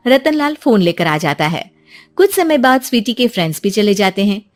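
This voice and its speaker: A woman's voice: medium pitch, lively intonation, normal speed. A woman reading scripted story narration as a storyteller for a cartoon tale.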